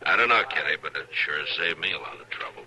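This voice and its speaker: An actor playing a laconic, steady American lawman of the Old West in a radio drama, gently teasing. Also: very deep voice, fast, full character voice, low-quality recording. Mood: dryly teasing. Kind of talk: 1950s radio western acting. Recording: old western radio broadcast recording.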